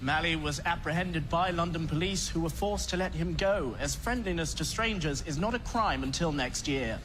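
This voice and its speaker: An actor playing an earnest male field reporter, delivering his report in a brisk, serious manner, heard on a noisy clip. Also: a little high, lightly acted. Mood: deadpan. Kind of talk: mock news broadcast. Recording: noisy clip played in a room.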